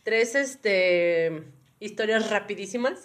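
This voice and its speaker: A woman with a Mexican accent and a slightly hoarse voice, chatting casually and jokingly as a podcast co-host. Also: medium pitch, expressive, slow pace.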